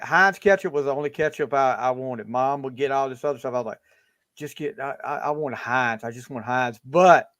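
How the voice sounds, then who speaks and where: A man's voice: mid-range voice, a bit bright, clean tone, no echo, studio quality. A fifty-year-old man hosting a casual sports podcast over a video call, talking in a rambling way with lots of restarts. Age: 50s. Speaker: podcast host. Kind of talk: casual chat.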